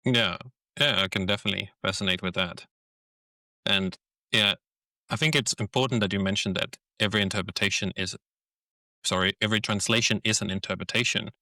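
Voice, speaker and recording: A Danish man with a Danish accent hosts a relaxed conversation. His voice sits low yet carries a bright, forceful edge. He thinks aloud, restarting phrases as he searches for the right words. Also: brisk, dry recording. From Denmark. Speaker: podcast host. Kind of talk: casual conversation.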